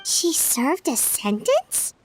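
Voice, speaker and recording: A tiny game companion with an extremely high, squeaky voice. The character is childlike, excitable and guileless, blurting things out in exclamations. Faint music plays behind. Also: female voice, takes it slowly, heavily acted, rough recording. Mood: puzzled, flustered. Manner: exclamatory, refers to self in third person. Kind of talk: video game voice acting.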